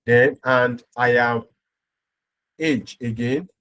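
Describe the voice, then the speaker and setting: A man's voice: medium pitch, steady tone, takes it slowly, fairly bright tone, clear, resonant tone. A man giving an informal step-by-step programming tutorial as an instructor, often checking in with short tag words as he explains.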